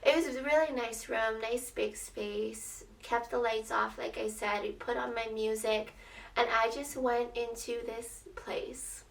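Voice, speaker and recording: A young woman with a slightly raspy voice, telling a personal story in a casual, chatty, expressive way, as a vlogger would. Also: a little high, unhurried. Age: young adult. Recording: roomy echo, distant microphone.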